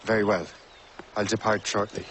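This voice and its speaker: An actor playing a weary, resolute male ship captain with an Irish accent, plain-spoken and measured, in a video game cutscene. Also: lowish voice, little pitch movement, fairly bright tone, slight background noise, lightly acted. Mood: composed, dry.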